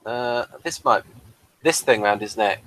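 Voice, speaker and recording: A man's voice: lowish voice, unhurried, fairly bright tone, poor audio. A man chatting casually as co-host of a review show.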